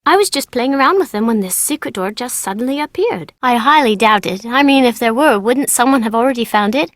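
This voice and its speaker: Woman as a high-voiced playful British schoolgirl. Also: lively intonation, a little fast, no room sound, close to an everyday voice. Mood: playful, cheeky. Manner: childlike, informal, bargaining. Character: playful, mischievous young English schoolgirl, imaginative and chatty. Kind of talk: video game character dialogue.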